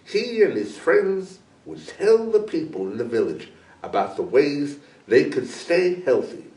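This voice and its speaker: A man narrates a children's tale with a slightly high, very animated voice. The reading is deliberate and expressive, with slow pacing. He sounds some way from the microphone in a roomy space. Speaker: guest storyteller. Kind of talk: scripted children's story narration read aloud. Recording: roomy echo, distant microphone.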